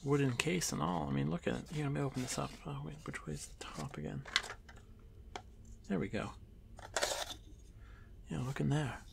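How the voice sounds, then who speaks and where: Male actor as timid young drider for fantasy ASMR: very creaky, soft, halting delivery, lonely and apologetic, audible noise behind. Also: a little high. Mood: nervous, apologetic. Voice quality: gravelly, creaky. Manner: hesitant, stammering, soft-spoken, often dropping to whisper. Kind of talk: ASMR fantasy roleplay addressed to the listener.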